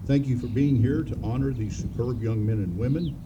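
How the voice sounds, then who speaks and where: A man speaks in a measured, formal way at a ceremony. His voice is mid-pitched. The sound is dull and distant, with clear background noise and birds. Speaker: school superintendent. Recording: dull, distant outdoor mic.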